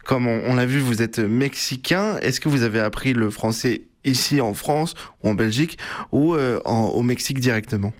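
A man's voice: medium pitch, moderate pace. A man hosting an interview and asking polite, formal questions, with some fillers. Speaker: interviewer, host.

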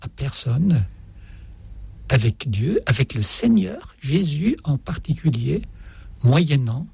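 A man with a Dutch or Flemish accent speaking French, a priest answering reflectively in an interview with deliberate phrasing and repetitions for emphasis. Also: on the high side, very animated, vocal fry, a little mellow, breathy edge. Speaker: Jesuit priest, author, interview guest.

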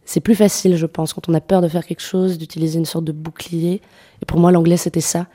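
A young woman singer-songwriter answering interview questions, speaking softly with many hesitations and restarts. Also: lowish voice, little pitch movement, fairly quick. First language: French. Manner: soft-spoken, hesitant, many fillers and restarts. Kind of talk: radio interview conversation.

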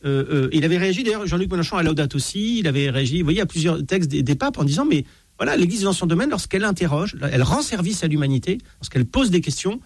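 A man, a priest, talking as a guest on a French talk show, animated and full of restarts and anecdotes. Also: fairly high pitch, fast, husky, no echo. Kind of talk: casual talk show conversation.

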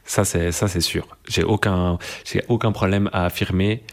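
A young man with a deep, low voice speaks slowly and thoughtfully, pausing often to find his words. He reflects calmly in a conversation, restarting phrases as he works through his ideas. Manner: thoughtful, searching, many pauses and restarts. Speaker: interviewee.

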